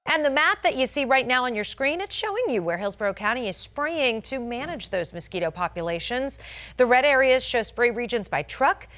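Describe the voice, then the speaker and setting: A woman's voice: fairly high pitch, fairly bright tone. A woman with an American accent anchoring a scripted local TV newscast in a polished way, stressing key words. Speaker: television news anchor.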